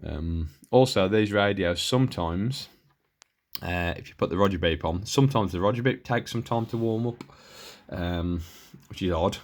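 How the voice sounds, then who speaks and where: British male, deep register, unscripted gear-review chat, hesitant and rambling, recorded on a handheld camera. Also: normal speed. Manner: rambling, hesitant, self-interrupting. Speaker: hobbyist showing and reviewing his radio gear. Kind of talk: casual unscripted equipment demo.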